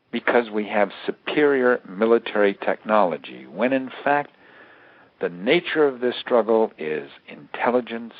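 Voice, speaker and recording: A man's voice: medium pitch, no room sound. American man on a thin phone line. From United States. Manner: halting, repeats words as he builds points. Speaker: interview guest, author and terrorism expert. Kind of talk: interview answers, analytical explanation. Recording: narrowband phone-like radio interview line.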